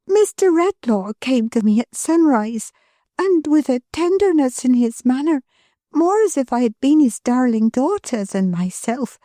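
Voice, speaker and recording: A woman voicing a gentle, humble, kind-hearted young woman who speaks in a heartfelt way. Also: fairly high pitch, no room sound, studio quality, pitched above the performer's own voice.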